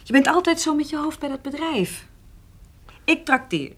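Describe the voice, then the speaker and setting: An actress playing a forthright, affectionate and insistent woman in a naturalistic radio drama, with a husky voice. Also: high-pitched, animated, moderate pace. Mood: eager, affectionate.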